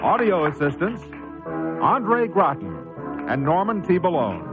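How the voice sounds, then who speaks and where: A man's voice: fairly high pitch, huge pitch swings, slow pace. A man announcing scripted radio credits. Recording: muffled lo-fi radio, loud music behind.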